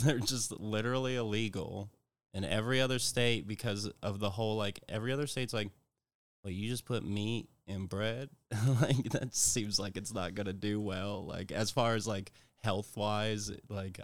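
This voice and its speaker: A laid-back man in his thirties from West Virginia, guesting on a podcast. He talks in a low voice that keeps breaking into laughs. The sound is dry and close. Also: steady tone, normal speed, no echo. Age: 30s. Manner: laid-back, laughs often while talking. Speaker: guest comedian. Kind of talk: casual podcast chat.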